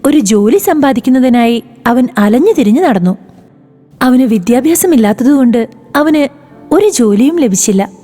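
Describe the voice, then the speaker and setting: A woman narrating a children's moral story in an expressive, sing-song way. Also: high-pitched, moderate pace, soft background music.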